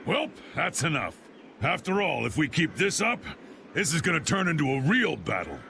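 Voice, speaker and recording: Game role: gruff old American chieftain, gravelly, folksy and commanding, very animated pitch. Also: male voice, moderate pace, noisy background. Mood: hearty, commanding. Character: blustery, hearty old male guild boss spoiling for a fight. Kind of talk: video game voice acting.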